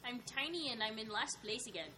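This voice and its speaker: A woman playing a racing game with friends, excitable and full of loud exclamations. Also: fairly high pitch, brisk, a loud crowd, low-quality recording. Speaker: player. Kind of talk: casual gaming chat.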